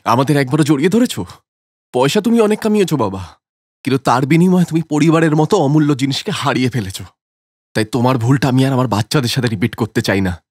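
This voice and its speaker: An aggrieved grown son, dry close sound. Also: male voice, mid-range voice, lively intonation, quick pace, slightly rough, no echo. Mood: bitter, accusing. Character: bitter grown son rebuking his absent, money-minded father. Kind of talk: dramatic cartoon voice acting.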